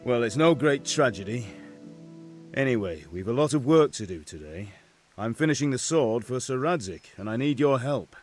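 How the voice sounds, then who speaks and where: A man's voice: mid-range voice, animated, normal speed, faint music behind, lightly acted. An actor playing a middle-aged blacksmith father in a medieval game, blunt and commanding. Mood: firm, businesslike. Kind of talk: dramatic video game dialogue.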